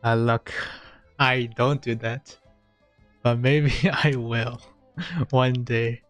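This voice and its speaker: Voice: medium pitch, steady tone, drawn-out words. A video game streamer giving casual live commentary in a hesitant, joking, self-deprecating way. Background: game music.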